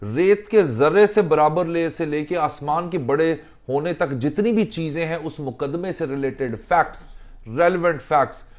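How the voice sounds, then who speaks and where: A man explaining legal rules in a deliberate way, mixing in English legal phrases. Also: a little high. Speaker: legal instructor.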